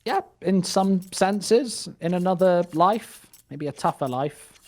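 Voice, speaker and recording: A man's voice: high-pitched, animated, takes it slowly, darkish tone. A man doing live play-by-play esports commentary, joking and wry in his banter. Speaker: esports caster.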